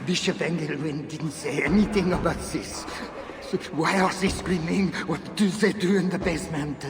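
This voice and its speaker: A male actor plays a medieval church man in a video game drama. The voice sits high for a man and crackles with fry, over faint music. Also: moderate pace, close to an everyday voice. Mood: nervous. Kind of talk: video game cutscene acting.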